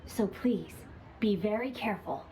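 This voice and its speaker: A woman's voice: mid-range voice, a little slow, dark tone, a little hiss or hum, natural voice, poor audio. A woman voicing a refined, witty young nobleman in a game, speaking in a courteous, formal, composed manner. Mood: calm. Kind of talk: game dialogue.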